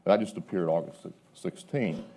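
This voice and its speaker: Very deep, mellow-voiced man on a panel. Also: normal speed. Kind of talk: panel discussion, arguing a point. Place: live panel event before an audience.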